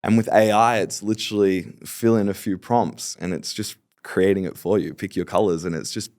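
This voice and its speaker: A man's voice: deep voice, fairly even intonation, normal speed, darkish tone, dry recording, clean studio sound. A man in his late twenties, a podcast guest talking confidently and agreeably about his business. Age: 20s. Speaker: podcast guest, fulfillment company founder. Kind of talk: casual interview talk.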